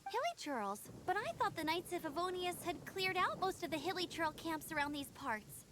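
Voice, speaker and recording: A woman voicing a spirited, earnest young female scout in a video game, brisk and decisive. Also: very high-pitched, lively intonation, background noise. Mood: concerned. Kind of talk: video game character voice acting.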